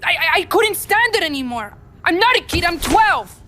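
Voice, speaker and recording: A woman's voice: very high voice, sing-song, normal speed, pushed and bright, a little hiss or hum, exaggerated voice. A woman playing a twelve-year-old boy guerrilla in a game cutscene, earnest and defiant, with a Latin American Spanish accent. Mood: bitter, defiant. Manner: emotional, youthful, impassioned delivery. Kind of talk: dramatic video game cutscene acting. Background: music.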